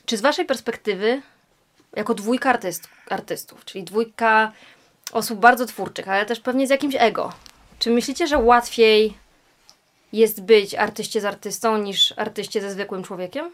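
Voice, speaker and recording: A woman's voice: medium pitch, normal speed. A woman hosting an interview, asking long, rambling questions with fillers. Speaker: interviewer, host.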